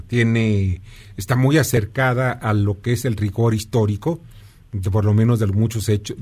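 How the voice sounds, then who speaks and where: A man's voice: mid-range voice, darkish tone. A male radio host with a mid-range voice. He talks effusively and asks long, winding questions that loop back on themselves. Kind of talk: radio talk show interview.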